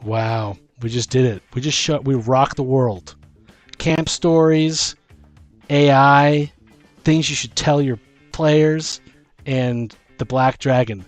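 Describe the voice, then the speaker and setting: A man with a low voice talks informally as a co-host on a tabletop gaming podcast. He thinks out loud in loose, unscripted phrases. Music plays behind him. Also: slow pace, dry recording. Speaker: co-host and dungeon master. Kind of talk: casual podcast chat about tabletop gaming.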